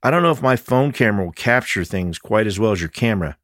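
A man's voice: on the low side, lively intonation, fast talker, a bit bright, no echo, voice pushed up. Male narrator as sardonic, tired amateur sleuth in a mystery audiobook, casual delivery, dry recording. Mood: calling out. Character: wry, weary male amateur investigator in a mystery.

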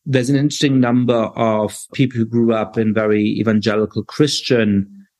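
A man hosting an interview podcast, introducing his guests and asking questions in a measured, thoughtful way. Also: medium pitch, steady tone, a little mellow. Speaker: podcast host.

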